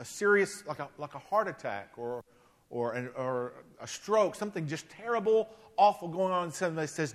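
A man in his forties delivers a sermon with a notably high voice, speaking plainly and conversationally. He peppers his points with quick asides and gentle self-mockery. The sound rings with a long reverb, as in a church sanctuary. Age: 40s. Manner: conversational, self-deprecating, frequent "right?" asides. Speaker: pastor.

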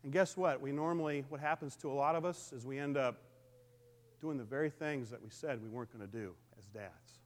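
A middle-aged man with a booming voice, preaching a conversational sermon to his congregation. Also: fairly quick. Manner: lively, conversational preaching with call-and-response. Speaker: pastor.

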